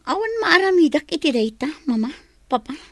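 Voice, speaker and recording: A young Filipino woman in a radio drama, firm but wounded. Her voice sits fairly high and sounds dry and close. She delivers her lines with open emotion. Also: lively intonation, brisk, no room sound. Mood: defensive.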